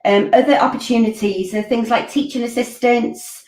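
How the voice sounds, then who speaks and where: A woman's voice: fairly high pitch, steady tone, normal speed, darkish tone. A woman in her forties hosting a college Q&A stream from home, answering viewer questions informally with lots of ums and restarts on a laptop webcam. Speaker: college curriculum director hosting a Q&A. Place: home room, indoors. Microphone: laptop webcam on a video call. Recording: roomy laptop mic from a distance.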